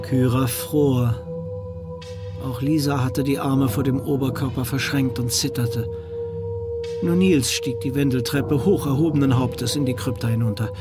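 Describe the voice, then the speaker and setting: A man narrating a children's fantasy radio play in a measured, suspenseful way. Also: mid-range voice, fairly even intonation, close to an everyday voice. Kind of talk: scripted narration. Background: music.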